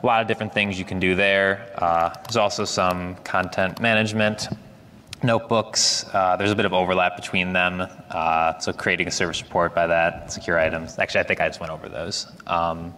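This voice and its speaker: A man with a loud voice giving a casual, energetic technical software demo at a conference. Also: low-pitched, fairly quick, long reverb tail, slight background noise. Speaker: conference presenter. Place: large conference hall.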